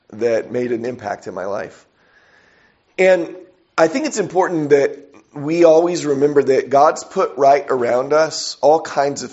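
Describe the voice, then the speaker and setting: A man preaching a sermon with a hoarse, raspy, weak voice from a sore throat, speaking conversationally with frequent pauses and fillers. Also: medium pitch, a little mellow, dull audio.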